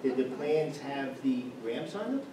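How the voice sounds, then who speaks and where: A man asking the board pointed questions, halting and restarting as he presses his case. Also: mid-range voice, expressive. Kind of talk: municipal meeting discussion. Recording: echoey room, distant mic, noisy.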